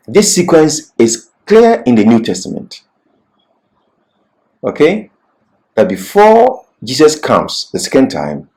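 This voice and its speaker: A man's voice: mid-range voice, darkish tone, low-quality recording. A man giving an expository Bible lecture as a teacher, repeating key phrases for emphasis.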